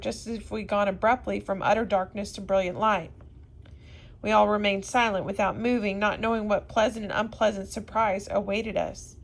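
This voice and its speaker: A woman's voice: mid-range voice, gravelly, low-quality recording. A woman narrating a classic novel aloud expressively, now and then stumbling over a word. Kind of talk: read-aloud storybook narration.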